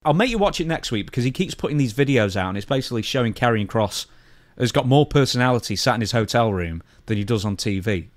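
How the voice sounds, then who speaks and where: A man's voice: medium pitch, expressive, fast talker, no echo, clean studio sound. A British man co-hosting a podcast, chatting casually and bluntly through news stories in slangy language. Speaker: podcast co-host.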